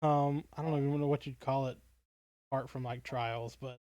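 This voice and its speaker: A man's voice: medium pitch, steady tone, normal speed, mellow, dry recording. A man co-hosting a podcast in casual fan discussion, feeling his way toward words as he talks. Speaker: podcast co-host.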